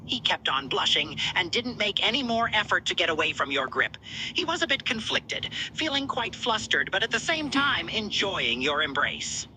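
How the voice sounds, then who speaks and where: Very bright, lively woman storyteller. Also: mid-range voice, normal speed, slight background noise, low-quality recording. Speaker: narrator. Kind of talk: scripted fan-fiction story narration.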